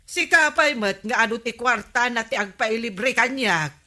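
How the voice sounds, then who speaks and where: A woman's voice: fairly high pitch, measured pace, pushed and bright. A woman acting a sensible, gossiping Filipino village wife who complains dryly in a comedy radio drama. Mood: gossipy.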